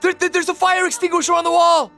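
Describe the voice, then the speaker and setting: A man's voice: extremely high pitch, monotone, fast talker, pushed and bright, soft background music. A man acting in a radio drama as a timid, lonely, awkward thirty-something who speaks hesitantly and stammers. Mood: frantic.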